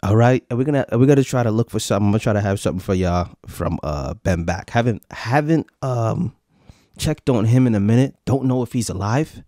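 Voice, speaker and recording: A man in his thirties riffs to his live audience, swearing freely and repeating lines for laughs. His voice leaps up and down with lively pitch. The sound is close and dry, with no room echo. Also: a little fast. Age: 30s. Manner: profane, swaggering, repeats lines for comic effect. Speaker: podcast host. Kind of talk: casual live-stream rant to viewers.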